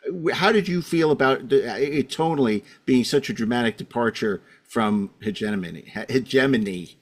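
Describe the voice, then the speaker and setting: A man's voice: mid-range voice, moderate pace. A man with a middle-of-the-range voice hosts a casual podcast panel. He talks in an opinionated, bantering way, like a fan debating with friends. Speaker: podcast host.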